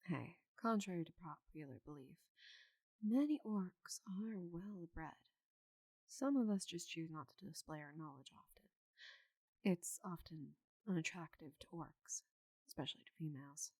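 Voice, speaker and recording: A woman voicing a giant female orc knight in an ASMR roleplay, confident, teasing and loyal, with soft breathy asides. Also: sits low, expressive, normal speed, no room sound, low-quality recording. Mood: calm, amused. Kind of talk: ASMR roleplay, intimate slow delivery.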